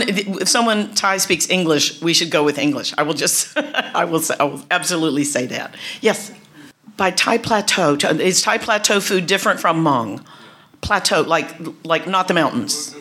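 A middle-aged woman, talking casually to an audience, chatty and warm, with many restarts and laughs. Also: low voice.

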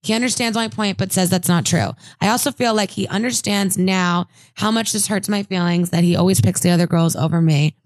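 A woman's voice: medium pitch, a little fast, hardly any pauses, dry recording. A woman co-hosting a casual podcast, reading listener letters aloud with jokey asides. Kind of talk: casual chat.

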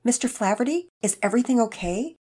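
An eager, kindhearted boy played by a woman narrator, pitched well up and very animated. He sounds polite and earnest, a good-natured young helper from a children's storybook. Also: quick pace, breathy edge. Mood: concerned, earnest. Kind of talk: acted character dialogue in children's story narration.

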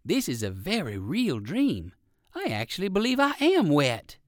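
A man voicing a polite, curious old gentleman rabbit in a children's storybook, expressive and kindly. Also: a little high, a little slow, no echo, clean studio sound. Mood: pleased, musing.

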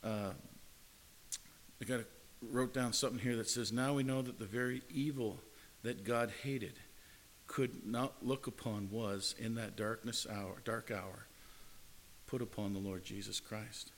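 Earnest preacher with a lowish voice. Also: male voice, fairly even intonation, measured pace, a little hiss or hum, poor audio. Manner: earnest, repetitive phrasing with frequent restarts. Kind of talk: sermon.

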